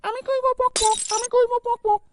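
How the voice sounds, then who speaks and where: A performer voicing a restless, complaining young village woman in an amateur comedy cartoon, with a pitched-up voice. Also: level tone, moderate pace, a little mellow. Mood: whining. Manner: whining, crude, defiant. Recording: faint background noise.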